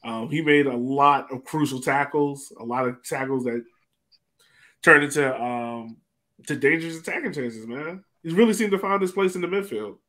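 A man's voice: medium pitch, moderate pace, bright, forceful tone. Man, mid-range voice, podcast host, casual sports discussion, video call microphone. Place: indoor room on a video call.

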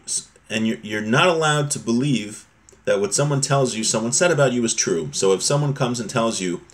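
Low-voiced man teaching a religious text. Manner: explanatory, repeats and rephrases points. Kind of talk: informal religious text lesson, reading and explaining. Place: small home room, indoors.

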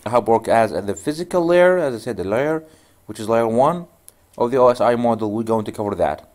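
A man's voice: mid-range voice, animated, a little fast, a little mellow. A man whose native language is Arabic, walking through a networking tutorial in loose, rambling speech with frequent restarts. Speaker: networking instructor. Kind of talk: screen-recorded tutorial.

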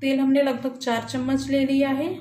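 High-voiced woman narrating a home recipe. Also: normal speed, echoey room, a little hiss or hum. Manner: calm, instructional, repeats "we will add". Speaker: cooking channel host. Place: home kitchen.

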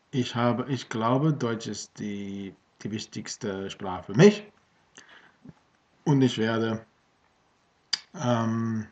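Aussie-raised guy in his 30s, english native, hesitant vlog chat, lots of ums, slow and a bit lo-fi. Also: fairly low pitch. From Australia. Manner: slow, hesitant, many fillers and restarts. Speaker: language learner. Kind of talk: casual vlog in German. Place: small room at home with bookshelves. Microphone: webcam at desk. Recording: dull lo-fi webcam audio.

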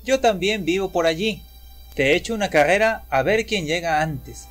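A man voicing a sly, sweet-talking wolf in a storybook tale, smooth and wheedling. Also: high-pitched, animated, moderate pace, a bit bright, faint music behind.

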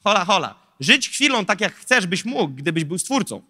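Animated pastor preaching in a big hall. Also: male voice, high-pitched, moderate pace. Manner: animated, gesturing, rhetorical, with lively asides. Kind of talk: sermon. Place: large church stage in front of an audience. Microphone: handheld mic. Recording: long hall reverb.

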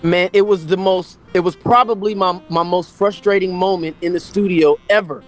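A man's voice: sits high, normal speed, faint music behind. A middle-aged man from Los Angeles, a singer telling studio stories on a video call, acting out what others said. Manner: animated storyteller, acts out quotes. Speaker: R&B singer, guest. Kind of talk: casual storytelling interview. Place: small room indoors. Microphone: webcam on a video call.

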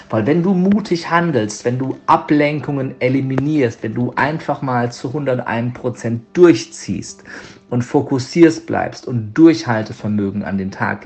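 Male motivational coach, medium-pitched voice, earnest, emphatic delivery, direct address, background music. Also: darkish tone. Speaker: motivational coach, podcast guest.

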